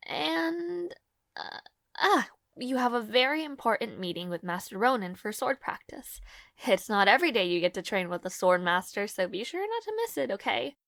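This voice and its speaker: A woman's voice: fairly high pitch, animated, normal speed, gravelly, fairly bright tone, no room sound, clean studio sound. A woman acting in an audio roleplay as a devoted young maid to a noble lord, nervous and caring, with a hesitant, formal and apologetic manner. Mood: polite, composed. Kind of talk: scripted audio roleplay, intimate fantasy drama.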